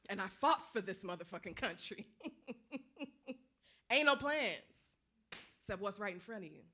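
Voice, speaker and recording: A woman's voice: mid-range voice, animated, measured pace, pushed and bright. A woman plays the restless ghost of a woman soldier in a stage drama. She is taunting and insistent, speaking in a streetwise vernacular and refusing to be forgotten. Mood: bitter, wistful.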